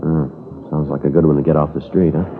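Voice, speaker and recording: An actor playing a terse police sergeant in a 1950s radio police drama, with a clipped, flat, matter-of-fact delivery. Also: male voice, rumbling low, a little fast, background noise. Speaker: police detective and narrator. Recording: tinny old radio broadcast.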